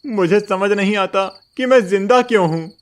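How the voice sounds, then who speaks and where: A man's voice: high voice, normal speed, close to an everyday voice. A man voicing a poor, honest village man in a cartoon moral story, burdened and despairing, speaking plaintively.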